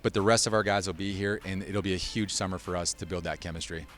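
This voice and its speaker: Deep-voiced man, informal coach in interview. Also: fairly even intonation, quick pace, loud music behind the voice. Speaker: college basketball head coach. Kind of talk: sit-down sports interview.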